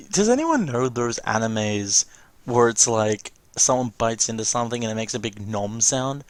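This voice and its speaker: A man's voice: medium pitch, animated, a little slow, no room sound. A man giving casual, rambling, enthusiastic reaction commentary as a let's play host.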